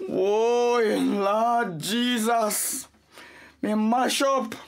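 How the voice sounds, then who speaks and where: A man's voice: high voice, extremely slow, vocal fry, full character voice. A man playing a wounded, protective Jamaican husband and father in a radio family drama, theatrical and plaintive. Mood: suffering, pleading.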